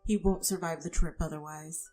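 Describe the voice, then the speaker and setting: Actress as practical young woman, audio drama, dry delivery, slightly low pitch, faint music bed. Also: steady tone, normal speed, dark tone, close to an everyday voice. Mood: matter-of-fact. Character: practical, blunt young woman tending the wounded.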